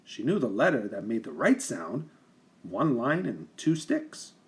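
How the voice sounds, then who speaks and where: Animated man reading a children's storybook. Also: mid-range voice. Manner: animated, deliberate emphasis for young listeners. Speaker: teacher reading a picture book aloud. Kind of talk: expressive children's storybook narration.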